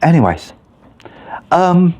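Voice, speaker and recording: A man's voice: on the high side, sing-song, takes it slowly, a little mellow, lo-fi sound. A man hosting a casual, unscripted game mod review, informal and thinking aloud as he talks.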